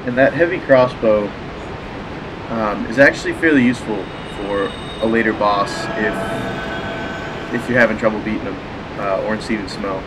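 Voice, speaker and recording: A man's voice: lowish voice, normal speed. A man with a stuffy cold voice giving chatty, rambling commentary while he plays a video game. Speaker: let's play host. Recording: steady background noise.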